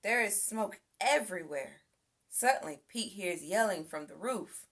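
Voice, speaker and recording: A woman reading a children's picture book aloud as an expressive storyteller. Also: mid-range voice, a little slow. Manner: expressive, acts out sound effects and exclamations. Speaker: storybook reader.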